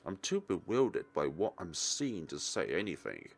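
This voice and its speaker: A man with a low, gravelly voice reads game narration aloud in a theatrical way. His pitch swings widely as he leans on key words, and he sometimes trips over a phrase. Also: huge pitch swings, normal speed. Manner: theatrical, varied emphasis, occasional stumbles. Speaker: let's player reading a visual novel aloud. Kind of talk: dramatic reading of game narration.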